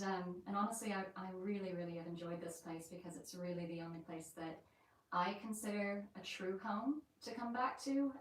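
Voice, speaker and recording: Chatty woman in an echoey old house. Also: fairly low pitch. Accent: American mixed with light Yorkshire. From England and the United States. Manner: chatty and rambling, with many fillers. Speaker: vlogger hosting a live stream. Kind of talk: casual live-stream chat. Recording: very echoey room, mic at a distance.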